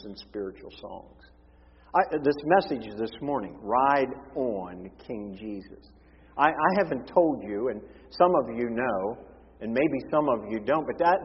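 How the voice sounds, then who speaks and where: Hoarse preacher man in a reverberant hall. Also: mid-range voice. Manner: impassioned, swinging between forceful emphasis and hushed tones. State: hoarse, losing his voice. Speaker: pastor. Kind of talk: sermon. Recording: reverberant hall, dull lo-fi sound.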